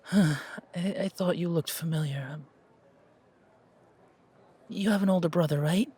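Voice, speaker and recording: A man acts out a grieving, loyal young man in an audio roleplay. His voice sits high and rough with breath, and he stammers and hesitates through his words. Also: a little slow, mellow. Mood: shaken, subdued.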